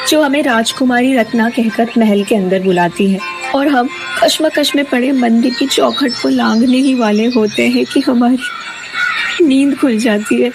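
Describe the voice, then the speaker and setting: A woman's voice: on the high side, normal speed, music in the background, lightly acted. A woman playing an earnest, polite teenage daughter in an audio drama. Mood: troubled.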